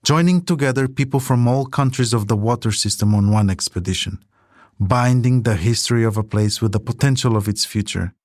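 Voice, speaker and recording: A man's voice: mid-range voice, brisk, darkish tone, no echo, studio quality. A man delivering scripted documentary narration as a podcast narrator, telling a story in composed sentences.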